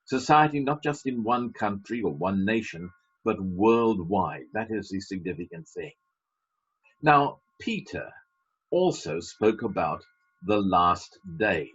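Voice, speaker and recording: A man's voice: fairly low pitch, animated, slow pace, a bit bright, breathy edge. A man preaching a sermon as a pastor, emphatic, with wry asides. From Britain. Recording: dull lo-fi sermon recording.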